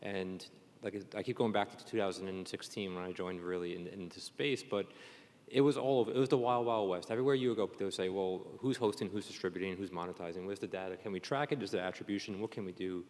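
Deep-voiced male moderator, reverberant hall. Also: fast, a little mellow. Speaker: panel moderator. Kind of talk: panel discussion, conversational. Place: conference session room. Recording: echoey hall, long reverb.

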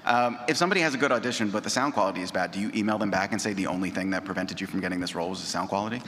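A man's voice: sits low, rapid-fire, a bit bright. A man talking casually as a panelist. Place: large room with audience. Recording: echoey hall, long reverb.

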